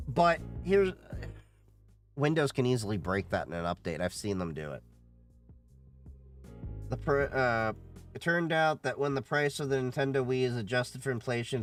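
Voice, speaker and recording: A man hosting a casual livestream, reading chat questions aloud and giving opinionated answers. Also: medium pitch.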